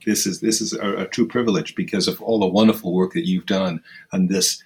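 A man's voice: low voice, steady tone, darkish tone, slight background noise. A man giving thoughtful, formally phrased answers as a surgeon guest in an interview. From Kenya. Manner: measured, formal, articulate phrasing.

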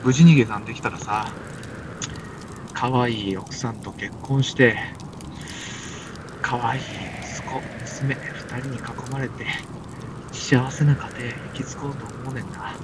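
A man's voice: medium pitch, fairly even intonation, slow pace, pauses often, lightly acted. A man with a Kansai accent playing an anxious, weary stranded climber in an amateur comic radio drama, earnest and exclamatory. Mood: wistful. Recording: noisy amateur recording.

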